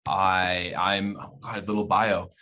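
A Canadian man speaking as a guest in a casual podcast chat, halting yet enthusiastic in manner. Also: deep voice, little pitch movement, pushed and bright, a little hiss or hum. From Alberta, Canada. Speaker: interview guest, actor.

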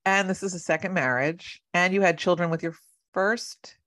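A woman with a low-pitched voice hosts an interview show. She works as a matchmaker and speaks in an encouraging, affirming way. She gives advice in a casual, conversational tone. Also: moderate pace, fairly bright tone. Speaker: podcast host and matchmaker.

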